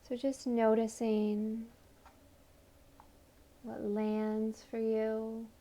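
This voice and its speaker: Slow, mellow woman guiding meditation. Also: a little high, monotone. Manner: soothing, gentle, unhurried invitations. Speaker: guided meditation instructor. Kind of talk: guided meditation, body scan narration.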